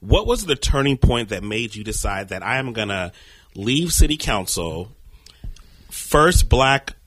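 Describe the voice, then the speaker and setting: A man's voice: medium pitch, very animated, a little slow, fairly bright tone, dry recording. A man hosting a radio talk show, welcoming his guest and asking questions in an upbeat, enthusiastic way. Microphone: studio mic.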